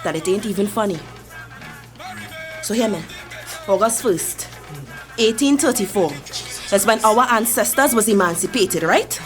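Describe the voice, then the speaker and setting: A woman's voice: mid-range voice, animated, a little slow, long pauses, close to an everyday voice. An actress playing Mavis, an older Trinidadian community matriarch who tells her people's history with passion. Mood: impassioned. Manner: storytelling, impassioned, preaching history. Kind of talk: radio drama acting. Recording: music behind the voice.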